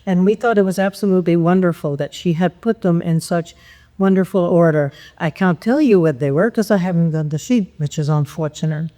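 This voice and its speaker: A woman from Canada chattily reporting on a workshop at a conference, with a loud, enthusiastic voice. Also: fairly low pitch, fairly quick. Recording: long hall reverb.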